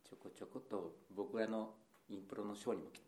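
A man's voice: on the low side, steady tone, fairly quick, a little mellow. A man co-hosting a radio show in casual, comedic banter with his fellow hosts.

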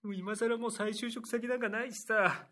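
A man's voice: sits high, fairly even intonation, normal speed, bright, forceful tone. An actor playing a dejected young adult son in an improvised radio drama, whining to his mother. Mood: mopey. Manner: mopey, whiny, then fired up.

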